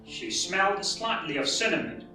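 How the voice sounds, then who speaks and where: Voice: medium pitch, expressive, moderate pace, bright, forceful tone. A narrator reading a scripted fairy tale for readers theatre. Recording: very echoey room, distant, music behind.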